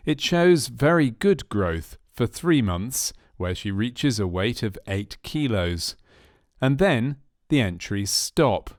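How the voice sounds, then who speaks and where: A man reading scripted documentary narration for a medical training film in a measured, factual manner. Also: medium pitch, big pitch swings, no room sound, clean studio sound. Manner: measured, factual delivery of clinical case history.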